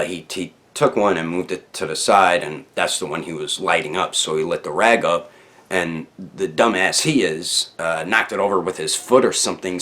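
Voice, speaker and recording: A man with a low voice hosts a casual storytime for his online viewers. He talks in loose, slangy sentences without a script, rambling and doubling back on words as he goes. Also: fairly bright tone. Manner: rambling, slangy, with stammered repeats. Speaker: YouTube storyteller, channel host.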